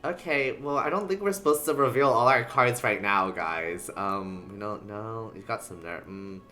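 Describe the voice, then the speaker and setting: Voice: medium pitch, expressive, moderate pace, bright, forceful tone, soft background music. A streamer giving casual live game commentary, rambling in playful asides to the characters.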